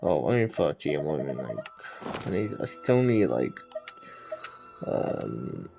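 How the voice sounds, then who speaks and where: A man's voice: rumbling low, very animated, slow, vocal fry, lo-fi sound. A man doing casual gameplay commentary, muttering to himself as he plays. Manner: muttering, talking to self. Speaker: game streamer. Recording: game music behind the voice.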